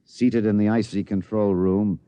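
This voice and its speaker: A man playing an excited, obsessed radio reporter with an American accent, stammering and emphatic in 1950s radio drama style. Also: lowish voice, unhurried, smooth, dry recording, lightly acted. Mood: bitter, wistful. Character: excited radio newsman, agitated, obsessed with breaking a cover-up. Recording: dull vintage radio recording.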